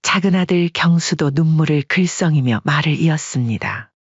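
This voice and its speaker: A narrator reading a story in an even, measured way as an audiobook. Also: medium pitch, breathy edge, no echo, lo-fi sound.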